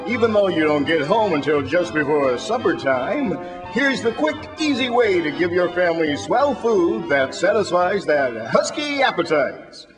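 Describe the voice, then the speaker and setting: An American man announcing and selling in an upbeat, clearly enunciated 1940s radio commercial style. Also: high-pitched, very animated, moderate pace. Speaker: radio announcer and sponsor pitchman. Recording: old radio broadcast with music.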